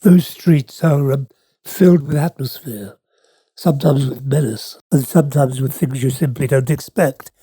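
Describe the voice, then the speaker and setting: A man's voice: medium pitch, moderate pace, mellow, husky, no room sound. A wheezy man with a chest infection, a guest author chatting thoughtfully in an interview with some hesitations. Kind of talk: casual interview chat.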